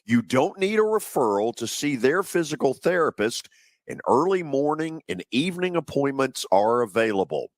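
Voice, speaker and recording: An American man hosting a radio show, speaking in the manner of sports talk and sponsor reads, with a husky voice. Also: medium pitch, sing-song, moderate pace, no echo.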